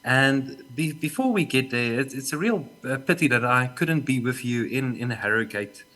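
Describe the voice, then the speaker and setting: Halting South African man. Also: mid-range voice, animated, bright, forceful tone. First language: Afrikaans. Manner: halting, repeats words, dry humor. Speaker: conference speaker. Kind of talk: online conference talk. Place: remote talk, not in the hall.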